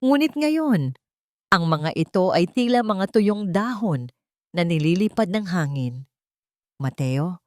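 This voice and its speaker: A woman narrating a dramatic story in an emotive, deliberate, scripted storytelling style. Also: mid-range voice, very animated, darkish tone, no echo, studio quality.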